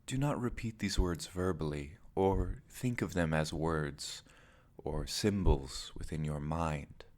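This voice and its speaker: Very deep, slow-speaking male meditation guide. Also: darkish tone. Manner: measured, deliberate phrasing with pauses. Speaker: meditation teacher.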